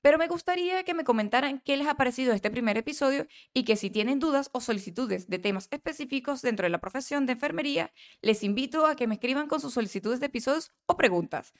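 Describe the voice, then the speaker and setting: Young nursing student lady, highish voice, careful earnest podcast explainer. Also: animated, fast. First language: not Catalan. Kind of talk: read-aloud educational podcast.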